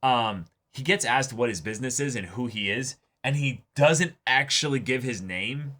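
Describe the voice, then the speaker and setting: A man's voice: medium pitch, moderate pace, sharp tone. A man chatting casually as he hosts a reaction show, talking emphatically and swearing freely. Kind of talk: casual discussion and commentary of an anime episode.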